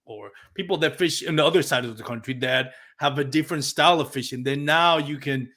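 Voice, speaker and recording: A man's voice: on the high side, brisk, pushed and bright. A man from Puerto Rico, a native Spanish speaker with a Puerto Rican accent, hosting a casual live podcast and talking reflectively, sometimes searching for words. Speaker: podcast host.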